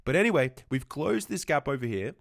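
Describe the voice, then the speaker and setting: A man's voice: mid-range voice, very animated, brisk, vocal fry, dry recording, studio quality. A man teaching stock chart analysis, a little congested and fluey, explaining at length and often rephrasing himself with "right" and "or at least". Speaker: trading educator. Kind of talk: market analysis commentary.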